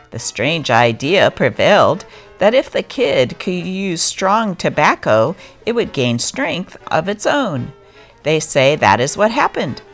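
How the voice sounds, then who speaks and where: Low-pitched woman narrator, lively intonation. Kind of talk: scripted reading of old newspaper stories.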